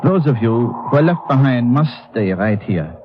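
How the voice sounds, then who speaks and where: An actor playing a steady, genial mountain guide with a German accent and a formal, reassuring way of talking in a radio drama. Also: male voice, medium pitch, moderate pace, lightly acted. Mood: firm. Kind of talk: 1950s radio adventure acting. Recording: dull old radio recording, faint noise.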